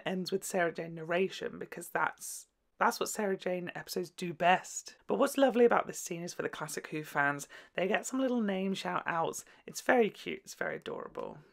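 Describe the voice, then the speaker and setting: A woman hosting a casual, gushing and sometimes sweary reaction commentary on children's television. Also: fairly low pitch. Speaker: video host reviewing children's TV.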